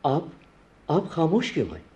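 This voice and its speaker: An actor playing a courteous aging sultan in a radio play, with formal, courtly, halting delivery. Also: male voice, on the high side, huge pitch swings, warm tone. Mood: earnest, hopeful. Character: courteous aging sultan seeking a son-in-law.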